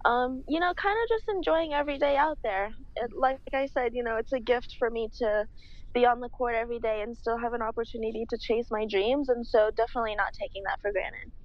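A young woman in her twenties answers interview questions in a slightly raised pitch. She speaks slowly and thoughtfully, filling pauses with ums. Her audio is dry and muffled, like a remote call. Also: no echo. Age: 20s. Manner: measured, slow, many fillers. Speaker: guest, pro tennis player.

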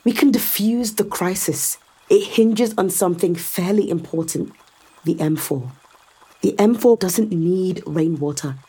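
Cool, sardonic, low-voiced woman executive. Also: measured pace, warm tone, slightly rough. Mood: insistent, persuasive. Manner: sardonic, controlled, persuasive, explaining at length. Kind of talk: full-cast science fiction audio drama acting.

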